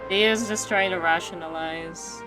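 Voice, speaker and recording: A woman's voice: fairly low pitch, fairly even intonation, a little slow, low-quality recording. A woman game streamer giving casual, slangy, reactive commentary on a playthrough. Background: game background music.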